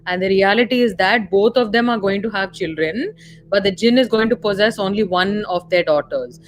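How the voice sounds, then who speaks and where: A woman's voice: mid-range voice, moderate pace, a little hiss or hum. A woman with an Indian accent co-hosting a casual film discussion, explaining and clarifying points as she goes. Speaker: live stream co-host.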